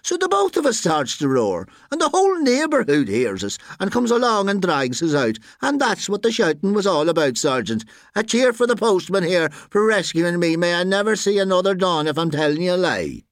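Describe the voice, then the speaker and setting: A man's voice: high-pitched, sing-song, moderate pace, hardly any pauses, no echo, voice pushed up. A man acts out a plump Irish poacher from a storybook, a wily spinner of tall tales. The voice is raised and swoops about, voluble and folksy, in a dry recording. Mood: earnest pleading.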